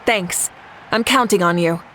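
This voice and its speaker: A woman's voice: mid-range voice, unhurried, a bit bright, a little hiss or hum, close to an everyday voice. A woman playing a confident, commanding warrior leader in a video game, speaking in an assured, oratorical way. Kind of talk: dramatic video game voice acting, speechmaking. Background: music.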